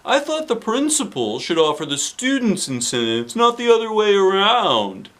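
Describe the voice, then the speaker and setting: A man voicing an eccentric, exuberant middle-aged school principal from a children's storybook, with exaggerated, drawn-out delivery. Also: high voice, wildly swooping pitch, fairly bright tone, voice pushed up, exaggerated voice. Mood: earnest pleading. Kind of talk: children's storybook character reading.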